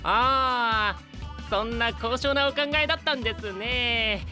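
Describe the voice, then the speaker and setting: A man's voice: very high-pitched, takes it slowly. A man playing a cheerful, polite and easygoing young knight in a comedic audio drama, with an airy, playful manner. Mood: cheery. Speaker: voice actor. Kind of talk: animated comedic character banter. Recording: studio voice over prominent background music.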